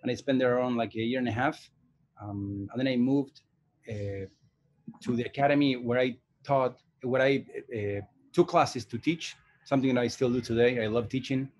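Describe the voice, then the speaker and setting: A man in his forties, originally from Argentina, answers interview questions about his work. He talks in a thoughtful, unhurried way, pausing and restarting his sentences as he searches for words, with a voice of ordinary middle pitch. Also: a little mellow, rough recording. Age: 40s. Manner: thoughtful, hesitant, many restarts. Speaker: animation director and teacher. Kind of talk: casual interview.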